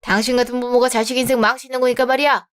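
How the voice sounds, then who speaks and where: A woman's voice: on the high side, level tone, racing, clean tone, lightly acted. A woman voicing a stern, wealthy middle-aged father who scolds coldly in a radio drama. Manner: cold, scolding.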